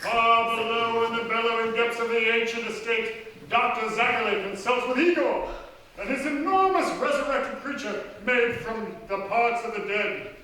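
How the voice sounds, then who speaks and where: Man as a high, bright melodramatic horror narrator. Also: moderate pace. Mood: lurid. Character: melodramatic, ominous radio horror announcer. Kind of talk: dramatic scripted narration in old-time radio spoof. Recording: very echoey theatre, distant mic.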